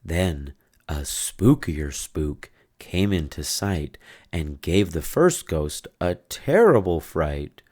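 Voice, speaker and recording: A storybook narrator reads a children's tale aloud. The voice is extremely low and moves along slowly, line by line. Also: big pitch swings, a little mellow.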